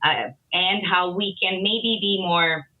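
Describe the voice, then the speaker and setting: A woman immigration attorney, a podcast guest, explaining immigration systems in a measured, opinionated way. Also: on the low side. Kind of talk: casual podcast interview. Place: Miami. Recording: tinny phone-like line.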